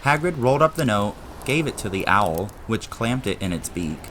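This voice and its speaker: A man reading dramatised story narration in an expressive storytelling style. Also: fairly low pitch, moderate pace, background noise. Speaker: narrator and audio drama maker.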